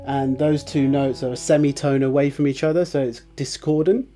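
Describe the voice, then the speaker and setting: A man hosting a casual guitar tutorial, chatty and relaxed, with fillers and restarts, who says his voice is on the low side. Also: normal speed. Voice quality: lower voice, by his own account. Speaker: guitar teacher, channel host.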